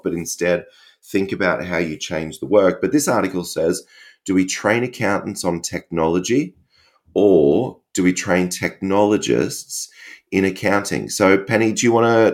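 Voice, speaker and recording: A man co-hosting a casual podcast, congested and stuffy-nosed, chatting in a relaxed way with frequent fillers. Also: sits low. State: congested, recovering from illness. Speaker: podcast co-host.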